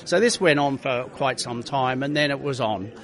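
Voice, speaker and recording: A man's voice: medium pitch, moderate pace, a bit bright. A middle-aged man, a racing driver, chatting through his stories with many restarts. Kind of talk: casual interview storytelling.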